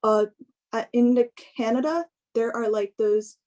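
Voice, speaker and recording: A young woman giving an informal personal talk in a hesitant, halting way, often filling in with "like". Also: on the high side, darkish tone, dull audio. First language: English. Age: young adult.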